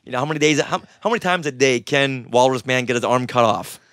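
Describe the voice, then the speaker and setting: A man's voice: medium pitch, quick pace, fairly bright tone, dry recording. Man co-hosting in dry, close sound. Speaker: podcast co-host. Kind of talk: casual panel chat.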